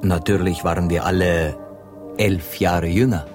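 A man playing a proud, courtly Spanish poet in a German radio drama, deep and husky, with a Spanish accent. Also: steady tone, a little slow, over music. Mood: courtly, solemn. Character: proud, courtly Spanish poet and gentleman, past his youth.

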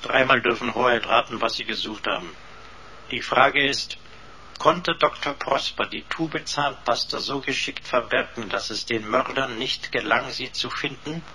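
An actor playing a pedantic computer butler in a radio play, servile in wording yet snootily mocking, pompous and over-formal. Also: male voice, mid-range voice, measured pace, pushed and bright, slight background noise, rough recording. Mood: smug lecturing.

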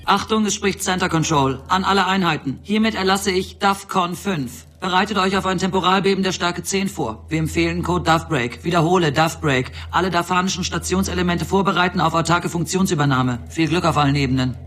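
An actor playing a stern, exasperated space control commander in a German science fiction radio drama, giving urgent military announcements. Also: male voice, sits high, a little fast, few pauses, a bit bright. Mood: grave announcing.

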